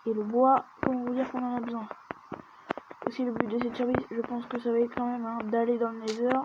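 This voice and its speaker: A woman talks her way through a video game in a loose, chatty let's-play style, often sinking into a near-whisper as she mutters to herself. Her voice sits on the high side. The recording is muffled and lo-fi, with a clear steady noise running underneath. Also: fairly quick. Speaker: gaming video creator. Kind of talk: casual let's-play commentary. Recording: dull lo-fi mic with steady background noise.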